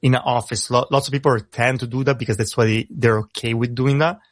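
A man with an Italian accent, speaking English as a second language, who talks fast as a rapper guest on a radio show and often repeats words as he starts a thought. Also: mid-range voice, steady tone, no echo, studio quality. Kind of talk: casual radio interview.

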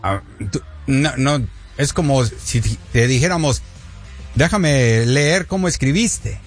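A man's voice: mid-range voice, lively intonation, takes it slowly, soft background music. A man co-hosting a sports talk show, reading viewer comments with joking, teasing banter.

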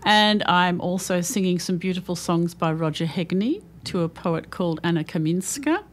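A woman's voice: fairly low pitch, normal speed. Chatty Australian woman singer. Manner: chatty and lively, laughs easily. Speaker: singer, studio guest. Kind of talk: radio interview chat.

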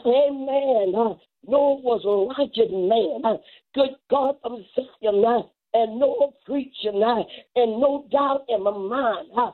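Slow, high-voiced woman preaching fervently. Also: phone-quality sound. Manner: rhythmic short phrases with repeated exclamations of praise and punctuating grunts.

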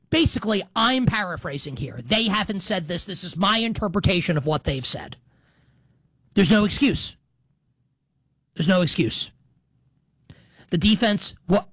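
A man's voice: high voice, fairly bright tone, dry recording. A middle-aged man hosting a sports talk show in a casual monologue, digressing, repeating and qualifying his points for emphasis.

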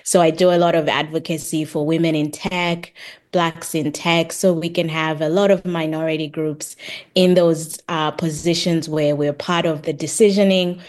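A middle-aged woman from Zambia with a fairly low voice speaks as an interview guest. She explains herself in a reflective, conversational way, feeling for her words as she goes. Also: fairly even intonation, moderate pace, darkish tone. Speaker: podcast guest, tech project manager and author.

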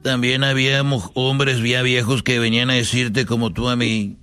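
A man playing a parody Mexican ranchera star, a stubborn, defensive younger brother who talks haltingly in a Mexican accent. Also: mid-range voice, little pitch movement, sharp tone, clean tone, lightly acted. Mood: stubborn, indignant. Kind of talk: comedic impression in a sketch. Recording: music playing behind the voice.

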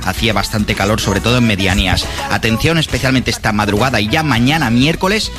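A man's voice: on the low side, fast. A man giving a casual, chatty radio weather report with playful wording. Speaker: weather presenter. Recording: radio broadcast with music behind.

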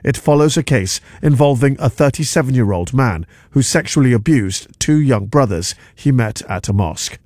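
A man reading a radio news bulletin in a measured, formal way. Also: medium pitch, lively intonation, a little mellow, dry recording, clean studio sound. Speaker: newsreader. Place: radio studio.

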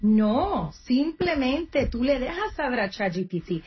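A woman on an interview gives career advice in an earnest, explanatory way and sometimes restarts mid-word. Her voice sits a little high. The recording sounds muffled and lo-fi. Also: sing-song, a little slow. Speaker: guest, nonprofit career coach.

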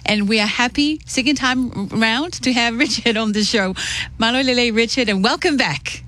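A woman's voice: a little high, normal speed, fairly bright tone. A woman hosting a radio show, upbeat and welcoming, sometimes stumbling over words as she introduces and questions a guest. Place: radio studio.

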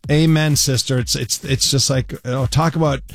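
A man co-hosting a morning radio show, with a raspy voice and jokey, self-deprecating banter. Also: medium pitch, steady tone, moderate pace, no echo, soft background music. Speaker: radio co-host. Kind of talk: casual morning radio chat. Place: radio studio. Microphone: studio microphone.

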